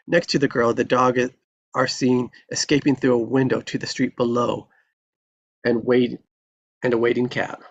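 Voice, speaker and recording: A man with a gravelly voice reading scene descriptions from a screenplay aloud at a live table read. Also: medium pitch, flat delivery, moderate pace, dull audio, a little hiss or hum. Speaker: reader of stage directions at a table read.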